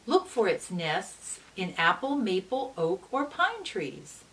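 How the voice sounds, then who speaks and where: A woman teacher giving an educational read-aloud for children, explaining as she goes with deliberate, instructive phrasing and emphasis on key words. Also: mid-range voice, big pitch swings, a bit bright. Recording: distant mic with faint hiss.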